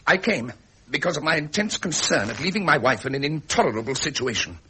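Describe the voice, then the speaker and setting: An actor playing a stiff lord and naval officer in a radio drama, formal, clipped and insistent. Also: male voice, a little high, expressive, a little fast, pushed and bright, slight background noise. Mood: indignant. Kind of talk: 1970s British radio drama acting.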